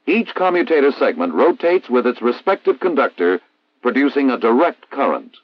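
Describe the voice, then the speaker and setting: An American man reading a scripted instructional narration in a formal, measured, didactic way, as in a 1960s military training film. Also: mid-range voice, big pitch swings, dry recording. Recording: dull vintage 1960s film soundtrack.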